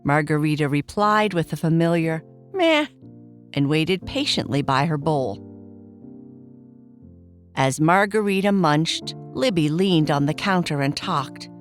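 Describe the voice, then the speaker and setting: A woman narrating a bedtime story for children in a gentle, expressive read-aloud manner. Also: deep voice, unhurried, faint music behind.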